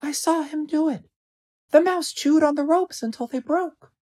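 A man's voice: extremely high pitch, normal speed, a little mellow, voice pushed up. A man voicing a small storybook sunbird that speaks in a plain, matter-of-fact way. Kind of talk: storybook character voice.

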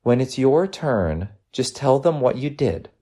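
Man voicing a gentle mom, lively swooping lilt. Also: mid-range voice, measured pace, voice pushed up. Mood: reassuring. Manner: coaxing, affectionate, reassuring. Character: gentle, teasing, encouraging working mother.

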